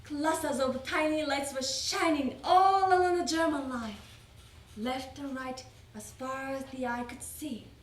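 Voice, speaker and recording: A woman giving a theatrical, expressive reading of a wartime letter as part of a readers theater. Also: high-pitched, a little slow. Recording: roomy, distant mic, faint noise.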